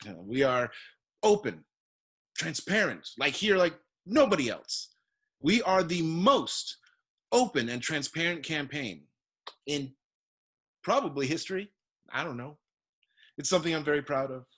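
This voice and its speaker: A man playing a pompous, evasive political candidate, halting and self-repeating, who swells into grand slogans. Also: a little high, sing-song, fairly bright tone, breathy edge, exaggerated voice. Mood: smug, evasive. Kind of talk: theatrical musical comedy acting.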